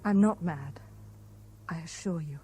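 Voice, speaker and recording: A woman's voice: sits low, a little slow, warm tone. An actress playing a dreamy, fragile, well-bred young woman in a 1970s radio drama. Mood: uneasy. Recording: noisy old 1970s radio broadcast.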